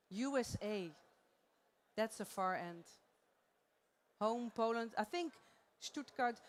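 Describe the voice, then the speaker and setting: A woman with a Dutch accent gives a live talk in a conference theater. She is playful and chatty and laughs often. Her voice sits a little higher than most women's. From Netherlands. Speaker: conference presenter.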